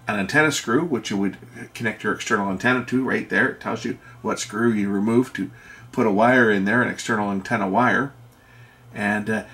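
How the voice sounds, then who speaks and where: A man's voice: on the low side, gravelly. A man giving an unscripted hands-on walkthrough of equipment as host of a repair video, thinking aloud as he goes.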